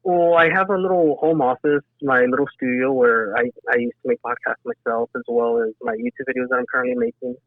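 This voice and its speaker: Male interview guest, conversational and earnest, mid-pitched, dry sound through a narrow phone-quality connection. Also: steady tone, brisk, few pauses, dry recording. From south Texas, United States. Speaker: interview guest, emergency dispatcher. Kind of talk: casual personal account in a podcast interview. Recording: thin phone-like band-limited sound.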